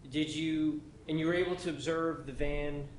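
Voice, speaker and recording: A man's voice: a little high, steady tone, moderate pace, echoey room, slight background noise, poor audio. A man questioning a witness in court as prosecutor, methodical, with short probing questions.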